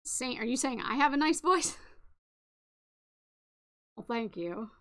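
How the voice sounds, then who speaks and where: Raspy, low-voiced woman around forty, rambling live-chat host, easygoing and full of ums. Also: expressive, takes it slowly, a bit bright. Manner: chatty, rambling, many ums and restarts. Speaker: craft livestream host. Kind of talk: casual live chat answering viewers.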